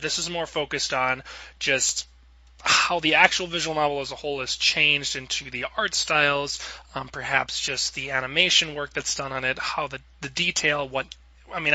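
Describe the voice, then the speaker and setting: A man's voice: mid-range voice, bright, forceful tone, no room sound, low-quality recording. A man hosting a podcast in a casual, chatty, rambling way. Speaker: podcast host.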